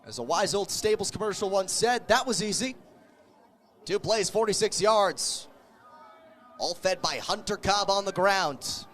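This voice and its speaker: A man doing live play-by-play radio commentary of a football game, calling the action with excited energy. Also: high voice, measured pace, long pauses. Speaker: play-by-play sports radio announcer.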